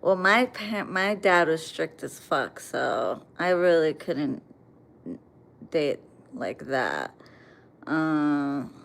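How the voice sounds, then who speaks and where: A woman's voice: low-pitched, vocal fry, a little hiss or hum. An American woman chatting bluntly on a livestream, reading viewer comments and answering them with plenty of swearing. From United States. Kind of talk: casual livestream chat.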